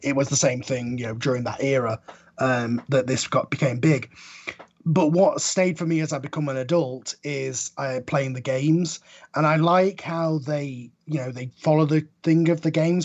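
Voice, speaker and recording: A man's voice: a little high, moderate pace, darkish tone, muffled recording. A man in a casual podcast discussion, rambling and correcting himself as he goes.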